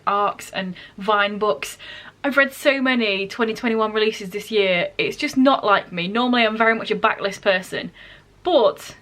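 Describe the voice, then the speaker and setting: A woman's voice: a little high, fairly bright tone. A woman vlogger chatting informally to her viewers, correcting herself and wandering into asides as she goes. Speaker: book vlogger, host. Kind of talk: casual chatty vlog talk to viewers.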